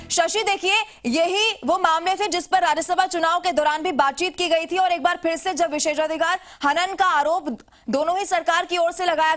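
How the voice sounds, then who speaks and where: High-pitched Indian woman news anchor over music. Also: a bit bright. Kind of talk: broadcast news reading and questioning. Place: TV news studio.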